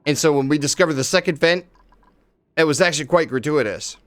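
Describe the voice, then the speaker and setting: A man's voice: fairly high pitch, fairly quick. A man live-streaming a game, chatting casually with viewers and swearing freely as he plays. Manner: excitable, swears casually, talks to chat.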